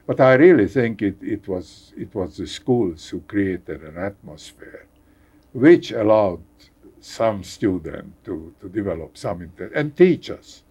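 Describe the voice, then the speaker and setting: An older man from Hungary with a Hungarian accent, speaking haltingly as a guest in a conversational interview, repeating words and chuckling wryly. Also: fairly low pitch, animated, a little mellow, husky. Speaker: guest scientist.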